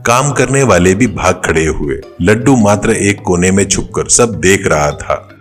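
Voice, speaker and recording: A man's voice: low voice, animated, unhurried, soft background music. A man narrating a scripted moral story.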